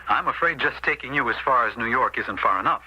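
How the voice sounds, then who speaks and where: An actor playing a stern, upright American older brother, a storekeeper, in a 1970s radio drama. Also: male voice, medium pitch, animated, quick pace, slightly rough. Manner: stern, admonishing, firm. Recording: dull, lightly noisy old radio recording.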